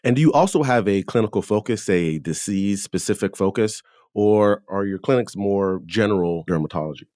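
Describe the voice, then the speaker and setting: A man with a low voice hosts an interview podcast. The sound is dry and close, and he moves between scripted introduction and questions for his guest. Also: fairly quick, darkish tone, no echo.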